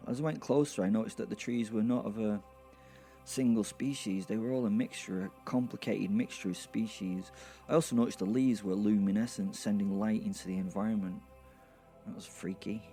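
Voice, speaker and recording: A man's voice: on the low side, fairly even intonation, a little fast, warm tone, soft background music, lightly acted. A man playing an irreverent, foul-mouthed werewolf who narrates an audio drama with hesitant, rambling, wry asides. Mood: sardonic. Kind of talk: first-person horror comedy audio drama narration.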